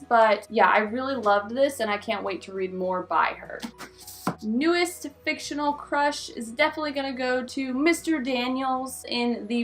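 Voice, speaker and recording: A woman's voice: on the high side, fairly bright tone. A woman talking casually to viewers as a book vlogger, informal and effusive, with emphatic repetition. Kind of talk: casual chatty video talk to viewers.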